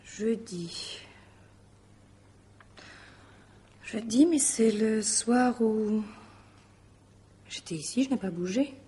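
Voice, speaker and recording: An actress playing a polite, guarded mistress in a French radio mystery drama, hesitant and questioning. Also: female voice, on the high side, takes it slowly, warm tone, slightly rough, full character voice. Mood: uneasy.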